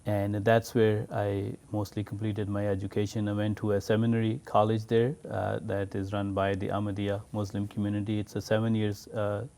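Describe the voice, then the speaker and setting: Low, flat-voiced man. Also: vocal fry. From Pakistan. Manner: patient, explanatory, measured. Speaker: imam. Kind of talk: interview answers.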